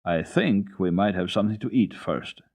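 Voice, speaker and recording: A man playing a kindly, gruff old mountain grandfather, plain-spoken and approving, in a children's story from an old radio recording. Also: low-pitched, lively intonation, normal speed, a little mellow. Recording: muffled, lo-fi archival radio recording.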